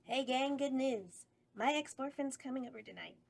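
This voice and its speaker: A woman's voice: sits high, moderate pace, vocal fry. A woman playing a flirtatious, campy horror hostess at a table read. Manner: campy, playful, sultry.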